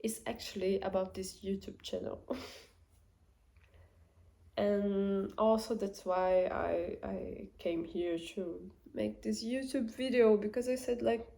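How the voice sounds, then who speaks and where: A young woman with a Portuguese accent talks to her camera in an unhurried, slow way. She thinks aloud as she goes, pausing on fillers and starting sentences over. Her pitch sits in an ordinary middle range. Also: fairly even intonation, creaky, dark tone. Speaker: vlogger. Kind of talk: casual reflective talk to camera.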